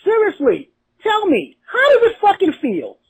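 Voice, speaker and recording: A woman's voice: very high-pitched, huge pitch swings, a little slow. A woman caller ranting casually and tauntingly, heard on a lo-fi voicemail recording. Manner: taunting, profane. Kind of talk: confrontational, casual rant. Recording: dull lo-fi voicemail playback.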